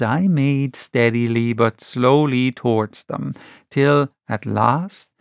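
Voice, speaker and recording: Slow, creaky-voiced male storybook narrator. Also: medium pitch, dry recording, clean studio sound. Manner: measured, dramatic reading in short phrases. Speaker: audiobook narrator.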